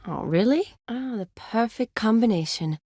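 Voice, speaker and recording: An actress playing a young army medic in a fantasy video game, a warm, playful friend with an expressive, teasing delivery. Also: female voice, fairly high pitch, moderate pace, slightly rough, dry recording, studio quality. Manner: expressive, teasing, sighs and soft chuckles.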